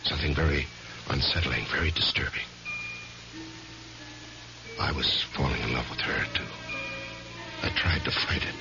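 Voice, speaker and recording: An actor playing a troubled married lawyer in a 1970s radio drama, speaking in a hesitant, halting way. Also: male voice, a bass voice, creaky, over music. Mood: brooding, confessional. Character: troubled married male lawyer narrating his guilty downfall. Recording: noisy, muffled 1970s radio recording.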